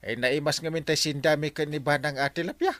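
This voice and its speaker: An actor playing a jolly, scheming village captain in a radio comedy drama, full of exclamations and chuckles. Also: male voice, fairly high pitch, brisk, no room sound, lightly acted. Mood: jovial. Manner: chuckling, animated, lots of exclamations. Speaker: barangay captain.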